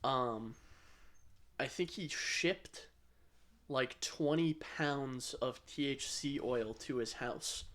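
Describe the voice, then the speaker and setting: A man's voice: mid-range voice, takes it slowly, a bit bright. An American man chatting casually as a podcast co-host. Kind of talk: casual chat.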